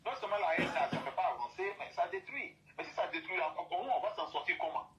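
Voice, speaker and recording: A man's voice: high-pitched, expressive, fast, a bit bright. A man from Côte d'Ivoire, speaking as a guest in an impassioned talk and repeating and restating his phrases for emphasis.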